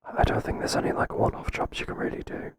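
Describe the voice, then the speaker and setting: A man playing a mild, kindly workplace boss in a whispered ASMR roleplay, soft-spoken and halting with a breathy voice. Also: very noisy, lightly acted. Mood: hesitant, accommodating. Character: mild, hesitant, kindly male workplace boss, flustered by flattery.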